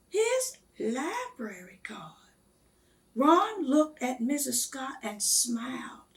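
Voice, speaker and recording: A woman reading a storybook aloud to children in a deliberate, expressive manner. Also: high-pitched. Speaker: read-aloud guest reader.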